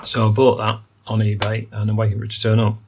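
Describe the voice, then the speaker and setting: An older man talks through a repair at his workbench. His voice sits low and has a gravelly edge. He muses in technical terms and fills pauses with ums and uhs, over a faint background hiss. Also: completely flat delivery. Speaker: electronics repair hobbyist. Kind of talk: hands-on repair walkthrough. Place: workbench indoors.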